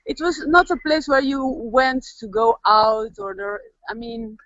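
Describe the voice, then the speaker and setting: A middle-aged woman chatting in a relaxed way in an interview, thinking aloud about where she lives. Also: sits high, a little slow, no room sound. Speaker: local resident. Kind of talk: casual interview answers. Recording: dry, dull lo-fi audio.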